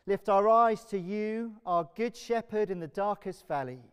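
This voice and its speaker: A middle-aged man with an English accent speaking as a church minister, leading prayers and addressing a congregation in measured, solemn phrases. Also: high-pitched, clean tone. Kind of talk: liturgical prayer and announcements to a congregation. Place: large church, indoors. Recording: reverberant church hall.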